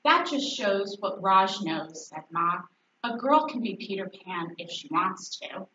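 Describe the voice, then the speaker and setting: A woman's voice: medium pitch, animated, a little slow, pushed and bright. A woman reading a children's story aloud, narration and dialogue, as a teacher. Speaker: fourth grade teacher. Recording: echoey, distant, faintly noisy, dull recording.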